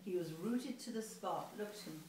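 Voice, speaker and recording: Female storyteller, expressive picture-book reading, very roomy and slightly distant sound. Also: lowish voice, normal speed. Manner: expressive, playful. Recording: echoey room, distant mic, faint noise.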